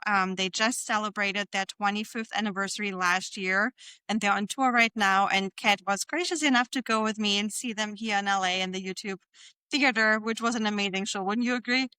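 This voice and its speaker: Woman host, German accent, bright voice recorded dry and close, gushing, slangy, sweary casual chat. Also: mid-range voice, steady tone, fairly quick, smooth, dry recording, poor audio. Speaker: podcast host.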